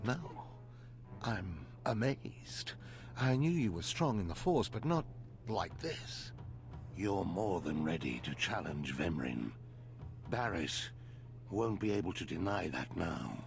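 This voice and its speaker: A stern, dignified mentor of the Sith in a video game. His voice is fairly low and very slow, formal and resigned, with music faintly behind it. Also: lively intonation, creaky, exaggerated voice. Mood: dying, solemn. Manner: solemn, measured, formal. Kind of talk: scripted video game voice acting.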